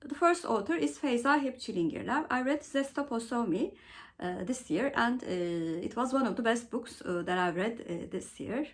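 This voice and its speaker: A woman presenting a book vlog as host, going through questions in a halting way with frequent mid-phrase pauses. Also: a little high, expressive. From Turkey.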